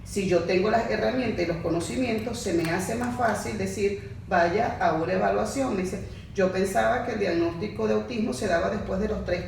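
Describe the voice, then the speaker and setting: A woman, a course facilitator explaining on a podcast in a measured way that restates her points. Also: mid-range voice, gravelly, a little mellow, reverberant, slight background noise, poor audio.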